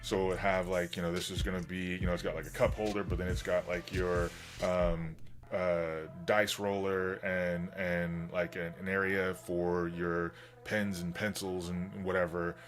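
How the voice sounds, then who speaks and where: A man hosting a livestream in casual, rambling, enthusiastic chat, his voice slightly hoarse from getting over being sick. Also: deep voice, level tone, measured pace. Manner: enthusiastic, informal geek talk. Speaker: livestream host.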